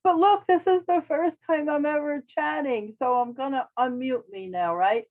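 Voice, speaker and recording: A woman's voice: sits high, moderate pace. A shaken, upset woman joining an online class, talking in a flustered, rambling way. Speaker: trainee on the call. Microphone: video call. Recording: tinny phone-like call audio.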